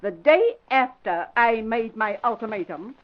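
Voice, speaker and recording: An actress playing a blunt, feisty older spinster with a folksy, tart manner in an old-time radio drama. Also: female voice, on the high side, animated, unhurried. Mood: indignant, tart. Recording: dull vintage radio recording.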